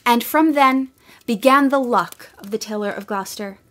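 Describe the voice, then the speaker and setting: A woman narrating a storybook radio play in a gentle, expressive voice that sits a little high. She shapes each line with care and often softens to a near hush. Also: moderate pace. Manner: gentle, expressive, sometimes hushed to a whisper.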